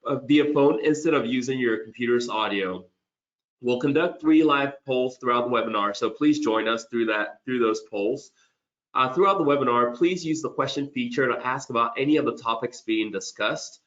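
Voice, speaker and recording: Male webinar host, mid pitch, slightly hoarse, chatty with restarts, muffled lo-fi audio. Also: moderate pace, fairly bright tone. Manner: conversational, with frequent restarts and fillers. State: losing his voice a little. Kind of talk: corporate webinar presentation.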